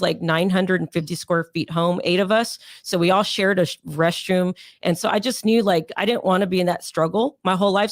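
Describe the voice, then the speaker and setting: A young adult woman, hoarse and raspy from sinus pressure, chatting as an interview guest in a rambling way with many fillers. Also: on the low side, no echo, studio quality. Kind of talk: casual interview storytelling.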